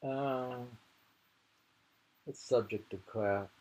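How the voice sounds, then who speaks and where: A man's voice: medium pitch, steady tone, very slow, mellow. A man reading poetry aloud and commenting informally, musing and rambling as he goes, often repeating phrases. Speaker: poetry reader. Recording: noisy amateur home recording.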